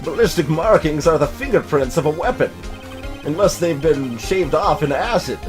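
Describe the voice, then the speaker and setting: A man playing a determined young lawyer, highish and animated. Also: moderate pace, music in the background, lightly acted. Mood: confident explaining. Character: earnest young male defense attorney, determined and dramatic. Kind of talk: video game dub acting.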